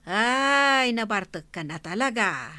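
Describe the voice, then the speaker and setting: A woman's voice: on the high side, lively intonation, takes it slowly, sharp tone, dry recording, exaggerated voice. An actress playing a chatty, nagging village woman in an Ilocano comedy radio drama, with an Ilocano accent. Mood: scolding, concerned.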